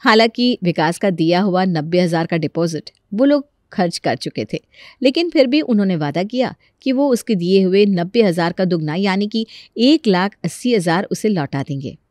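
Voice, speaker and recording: A woman's voice: fairly low pitch, expressive, normal speed, darkish tone, dry recording. A woman narrator tells a scripted story. The recording is dry and close, with no room sound.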